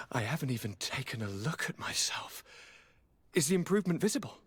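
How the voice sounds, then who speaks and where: An actor playing a cheeky, blithe young highborn gentleman in a fantasy game, with a breathy voice and wry, courtly, theatrical manner. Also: very animated, unhurried, full character voice. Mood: wry, affectionate. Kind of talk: dramatic fantasy game acting.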